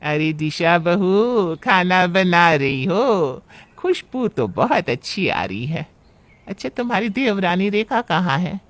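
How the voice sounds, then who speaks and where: A man voicing a scheming, sharp-tongued middle-aged aunt in a cartoon family story, with theatrical, drawn-out, needling delivery. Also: high voice, very animated, dry recording, exaggerated voice. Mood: sly, needling.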